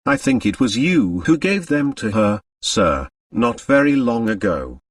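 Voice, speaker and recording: Man voicing a fretful English lady's maid, swooping pitch. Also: big pitch swings, measured pace, no echo, clean studio sound, distant mic. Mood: uneasy, confiding. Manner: deferential, chatty, easily flustered. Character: nervous, discreet English lady's maid, respectful woman servant.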